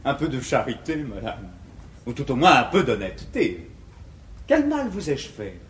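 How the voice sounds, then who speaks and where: An actor playing an ardent, courteous young gentleman suitor in classical French verse comedy, with polished, eloquent declamation. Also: mid-range voice, sing-song, normal speed, a little hiss or hum, close to an everyday voice. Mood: pleading indignation. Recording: echoey 1950s theatre recording.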